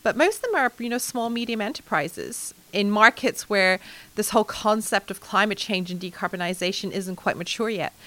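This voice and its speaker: A woman from Hong Kong, a sustainability executive answering interview questions in a lively way, with frequent fillers and light laughs. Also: mid-range voice, moderate pace. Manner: animated, laughs often, many fillers.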